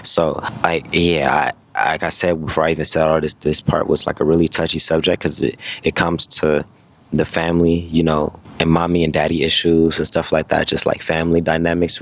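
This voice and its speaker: A young man in his twenties with an extremely deep voice and a gravelly, creaky edge. He talks quickly and rambles through a motivational monologue, stopping often to correct himself. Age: 20s. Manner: fast, rambling, self-correcting. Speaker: vlogger.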